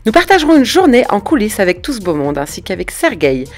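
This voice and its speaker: A woman presenting the episode in scripted narration with an expressive delivery. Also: mid-range voice, quick pace, faint music behind.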